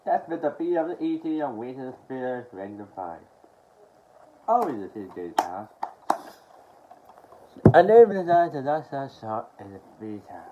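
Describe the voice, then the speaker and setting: A young adult man from Australia talking haltingly and with mumbled words in a casual show-and-tell to camera at home. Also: medium pitch, sing-song, mellow. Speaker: collector showing his media haul. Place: small furnished room at home, indoors. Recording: dull lo-fi sound with background noise.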